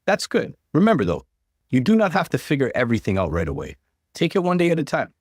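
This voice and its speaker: A man voicing a supportive adult woman partner in a read-aloud story, with a gentle, reassuring manner. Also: a little high, huge pitch swings, fast, dry recording, clean studio sound, pitched above the performer's own voice.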